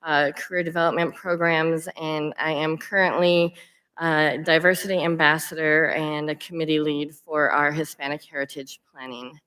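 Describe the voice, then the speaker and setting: A woman's voice: deep voice, flat delivery, moderate pace, a bit bright, clear, resonant tone. A woman panelist introducing herself at a conference in a friendly, conversational way. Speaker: panelist, sales account executive. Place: conference hall.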